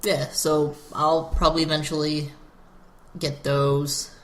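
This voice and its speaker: A man's voice: fairly high pitch, flat delivery, slow pace, fairly bright tone. Hesitant man in an echoey room. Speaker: podcast co-host. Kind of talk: casual chat about video games.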